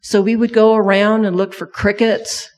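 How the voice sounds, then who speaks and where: A woman's voice: mid-range voice, level tone, normal speed, a little mellow. A middle-aged woman who grew up in Thailand and speaks Thai natively, telling a children's sermon story and asking the kids many questions.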